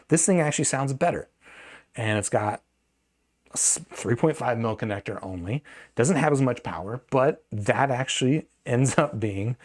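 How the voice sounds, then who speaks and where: A man's voice: medium pitch, darkish tone. A man giving a casual, off-the-cuff product review in a conversational, opinionated way, adding asides as he goes. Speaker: audio gear reviewer and video host.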